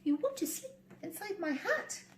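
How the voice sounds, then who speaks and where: A woman voicing a small, determined and excitable boy piglet in a story reading. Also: very high-pitched, moderate pace, reverberant, a little hiss or hum, voice pushed up. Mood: surprised.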